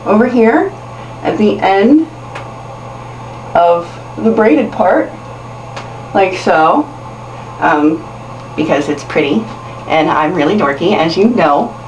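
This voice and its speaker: A woman's voice: mid-range voice, animated, takes it slowly, pauses often. A woman in her thirties giving casual how-to instruction to viewers, chatty and self-deprecating, recorded in a small bathroom on a camera mic. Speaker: hair tutorial host. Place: small tiled bathroom, indoors. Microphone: camera mic at a short distance. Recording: roomy, distant camera mic with hiss.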